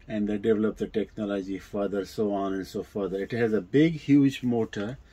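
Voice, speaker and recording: A man with a non-native English accent giving a casual, unscripted demonstration in a halting, hesitant way with repeated words. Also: on the low side, fairly even intonation, dark tone, breathy edge. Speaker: presenter of vintage audio equipment videos.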